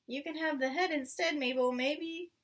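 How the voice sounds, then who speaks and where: A bossy farmer's wife from a children's tale gives commands in a high voice, voiced by a woman reader. She is impatient and snappish. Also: moderate pace, fairly bright tone, far from the mic.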